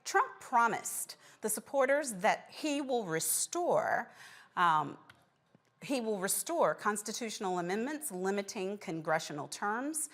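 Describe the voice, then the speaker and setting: A woman hosting a news program, reading scripted news and putting questions to a guest. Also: medium pitch. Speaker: news host.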